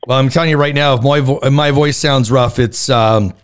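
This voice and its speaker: A man hosting a radio show, interviewing a caller in a relaxed, chatty way with long, rambling questions. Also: mid-range voice, brisk. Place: Toronto.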